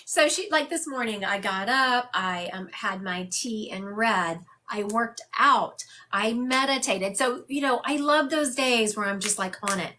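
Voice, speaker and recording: A woman's voice: fairly high pitch, a little slow, hardly any pauses, sharp tone. A woman giving a live psychic medium reading for viewers, chatty and conversational, laughing often.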